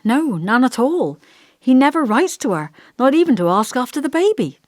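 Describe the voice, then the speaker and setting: A courteous, reserved woman of twenty in audiobook dialogue, voiced by a woman reader. The manner is plain-spoken and a little hesitant. The pitch is expressive and the recording is dry. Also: normal speed, no room sound. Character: polite, reserved twenty-year-old woman, Abigail.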